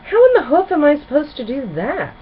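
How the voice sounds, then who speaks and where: Woman doing an eager, animated young pony student. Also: high-pitched, a little fast, narrow, tinny audio, a little hiss or hum, voice pushed up. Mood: puzzled. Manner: eager, questioning storybook dialogue.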